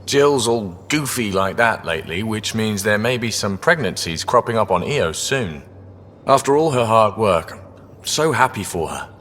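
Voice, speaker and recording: A man's voice: on the low side, lively intonation, moderate pace, fairly bright tone, big-room sound, a little hiss or hum. An actor playing a wisecracking, easygoing male crewman in a video game, with colloquial, wry banter. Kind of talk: video game dialogue acting.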